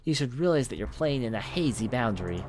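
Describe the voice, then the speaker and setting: A man's voice: medium pitch, lively intonation, fast, natural voice. Man playing a stern woman, scolding, harsh, horror visual novel, slight background noise. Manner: harsh, sharp.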